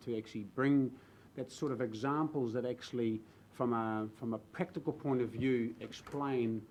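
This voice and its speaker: A man from the Netherlands with a Dutch accent, a new councillor speaking earnestly in a council chamber, halting with fillers and restarts. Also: mid-range voice, a little hiss or hum, low-quality recording. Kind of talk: speech in a council debate. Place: council chamber with public gallery.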